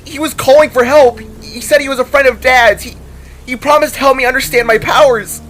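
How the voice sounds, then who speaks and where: Man acting a distraught, high-pitched teenage boy. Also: fairly even intonation, fairly quick, fairly bright tone, a little hiss or hum. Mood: distraught, guilty. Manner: stammering, pleading outbursts. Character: distraught teenage boy, guilt-ridden, pleading and defiant.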